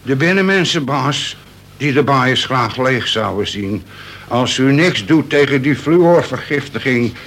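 A man's voice: mid-range voice, animated, unhurried, fairly bright tone, slight background noise. A man acting a wily, cheeky convict in a radio play, wheedling and arguing in colloquial speech with mock humility. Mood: pained, pleading.

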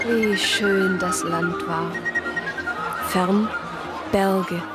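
An actress playing a reflective Protestant sister who narrates a crime story in a radio drama. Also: female voice, mid-range voice, steady tone, extremely slow, loud music behind the voice, over a noisy crowd. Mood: wistful. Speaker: narrator and lead character.

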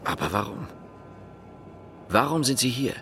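A man's voice: medium pitch, animated, a little slow, vocal fry, sharp tone, slight background noise, full character voice. An actor in a radio drama playing an earnest, uneasy East German policeman, hesitant and sincere in his questioning manner. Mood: hesitant, curious.

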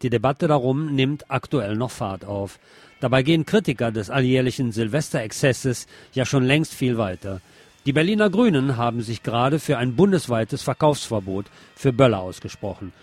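A man's voice: medium pitch, expressive, moderate pace, darkish tone, dry recording. Male news commentator over music. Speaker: host and presenter of a news commentary show.

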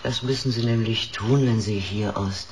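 An actor playing a nosy, knowing neighbour who gossips drily in short folksy sentences, in a German radio drama. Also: male voice, fairly low pitch, steady tone, moderate pace, heavy background noise, natural voice. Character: nosy, talkative neighbour who sees everything, drily amused.

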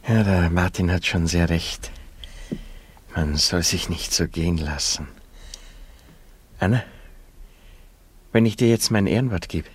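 A man's voice: low voice, measured pace, pauses often, slight background noise. An actor playing a cynical, self-pitying womaniser in a radio drama, wheedling and mock-solemn.

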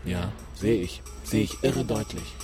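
A man's voice: lowish voice, unhurried, close to an everyday voice. An actor playing a cool, flirtatious male gamer in a radio drama, with casual, slangy delivery. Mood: impressed, casual. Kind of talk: scripted radio drama acting. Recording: music behind the voice.

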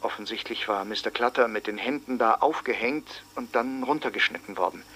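An actor playing a shaken small-town witness in a radio drama, speaking haltingly in short broken phrases. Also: male voice, mid-range voice, pushed and bright, slight background noise, close to an everyday voice. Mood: horrified, stunned.

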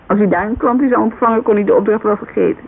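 A woman's voice: mid-range voice, very fast, creaky. A woman playing a chatty, loyal businesswoman giving a witness account in a naturalistic radio drama, telling it informally with digressions. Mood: chatty recounting. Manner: informal, digressive, animated storytelling. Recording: muffled, hissy old radio recording.

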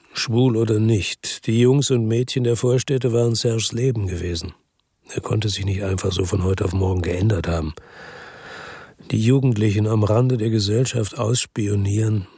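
Radio noir lead: a tough, tired detective, deep-leaning husky voice, terse storytelling. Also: male voice, moderate pace, mellow, no room sound, studio quality. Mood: reflective. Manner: terse, matter-of-fact. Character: world-weary, tough male detective telling his story. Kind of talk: first-person radio drama narration.

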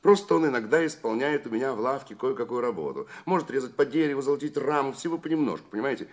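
An actor playing an obsequious, easily flustered shopkeeper in a Russian radio drama, hesitant and nervously repeating himself. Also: male voice, mid-range voice, lively intonation, fast talker, natural voice. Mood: nervous, defensive. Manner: servile, repetitive, hesitant, nervous. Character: flustered, obsequious, indignant male London shopkeeper. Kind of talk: Russian radio play acting.

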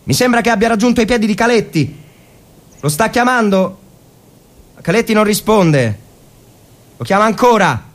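A man's voice: high voice, expressive, moderate pace, pauses often. A man giving a dramatic, theatrical reading of a war story, telling it with suspense in the present tense. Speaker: actor reading a short story.

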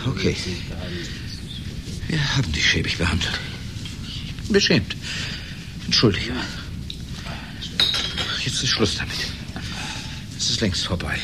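A man's voice: on the low side, very animated, slow pace, pauses often, very noisy. An actor in a radio play playing an exasperated, indignant man who speaks in emphatic, clipped sentences. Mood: resigned, impatient. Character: exasperated, indignant man trapped by an old college friend.

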